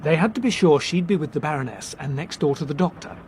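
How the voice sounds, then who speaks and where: Breezy, higher-voiced young Englishman, acted by a man. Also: animated, quick pace, a little mellow, slight background noise, natural voice. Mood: jaunty, teasing. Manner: jaunty, teasing, theatrical storytelling. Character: breezy, quick-witted young Englishman playing amateur detective. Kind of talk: British radio drama acting.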